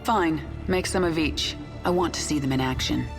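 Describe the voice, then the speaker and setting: A woman's voice: low voice, moderate pace, gravelly, over music. An actress playing a stern, imperious queen of an alien swarm in a video game, speaking curtly with simmering menace. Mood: commanding, curt. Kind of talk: video game character acting.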